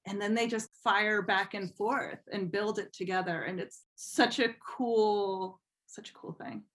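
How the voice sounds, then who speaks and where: A woman chatting casually as an interview guest, thinking aloud in an informal, halting way. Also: medium pitch, steady tone, a bit bright. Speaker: podcast guest, fan fiction writer.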